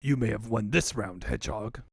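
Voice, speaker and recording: A man's voice: fairly low pitch, moderate pace, gravelly, husky, no echo, voice pushed down. A man voicing a pompous mad-scientist villain in a comic fan dub, speaking in a grandiose, theatrical way. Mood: vengeful.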